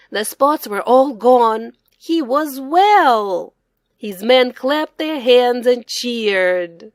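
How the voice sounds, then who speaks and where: A woman reading a children's story aloud as a narrator, in a deliberate, expressive way. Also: a little high, no echo, clean studio sound. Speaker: storybook reader.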